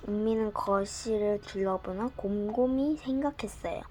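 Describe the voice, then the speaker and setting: A woman narrating a bedtime storybook in a gentle, expressive reading style. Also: medium pitch, moderate pace.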